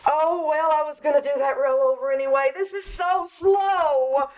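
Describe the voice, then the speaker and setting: A playful, scheming housewife from a radio comedy acted by a woman. Her voice sits high, and she wheedles in a broad theatrical way. There is light noise on the live recording. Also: fairly even intonation, moderate pace, darkish tone, natural voice. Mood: exasperated. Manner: coaxing, exaggerated, theatrical. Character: scheming, nosy, playful 1940s housewife wife. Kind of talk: old-time radio comedy acting on stage.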